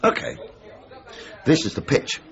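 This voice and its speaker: An actor playing a disillusioned, sardonic middle-aged writer in a radio comedy drama, blunt and wry. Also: male voice, unhurried, a bit bright, dull audio, some chatter behind, close to an everyday voice. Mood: pitching, keen. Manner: blunt, wry, world-weary.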